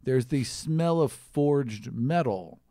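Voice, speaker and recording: A man running a tabletop game as game master, narrating casually in halting, conversational descriptions. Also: medium pitch, warm tone, dry recording, clean studio sound.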